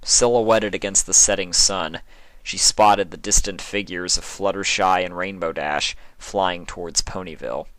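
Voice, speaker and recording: A man's voice: low voice, fairly even intonation, measured pace, a bit bright, no echo, rough recording. A man reading fan fiction aloud as dramatic scripted narration.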